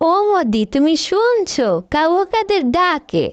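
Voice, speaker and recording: A woman's voice: very high voice, sing-song, measured pace, no room sound, dull audio, exaggerated voice. A woman voicing a bossy, scolding fallen female leader with dramatic, mocking delivery in a comic cartoon. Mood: teasing, wistful.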